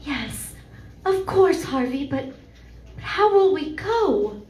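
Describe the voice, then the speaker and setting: A woman playing a frightened, suspicious woman in danger, with dramatic, deliberate old-time radio acting. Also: sits high, very animated, a little mellow, full character voice. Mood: hushed, worried. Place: live stage performance in a venue. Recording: echoey live room.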